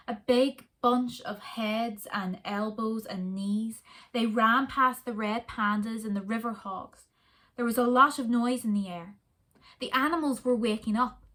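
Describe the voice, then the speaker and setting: A woman reading a children's story aloud in an expressive, dramatic way with deliberate pauses. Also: mid-range voice.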